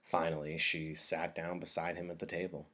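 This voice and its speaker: A man with a low, deep voice reads a story aloud like a teacher. He delivers scripted narration from a novel for listeners following along. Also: level tone, moderate pace, bright, forceful tone.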